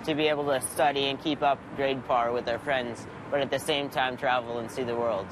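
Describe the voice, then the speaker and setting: A man's voice: mid-range voice, steady tone, fairly quick. A teenage boy, a guest answering interview questions in a matter-of-fact way. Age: teens. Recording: clear traffic noise.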